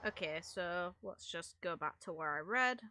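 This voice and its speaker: Bright, forceful woman game streamer. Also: lowish voice, animated, moderate pace, slight background noise. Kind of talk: casual livestream chat and lore reading. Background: faint game music.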